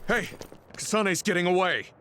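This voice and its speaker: Young game fighter, urgent, high-pitched, animated, faint noise. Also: male voice, normal speed. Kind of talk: video game voice acting.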